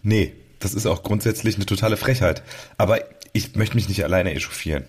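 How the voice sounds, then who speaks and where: Man, husky, quick delivery, talkative, rambling, casual podcast chat. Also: low voice. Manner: talkative, rambling, breaks off sentences. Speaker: podcast host.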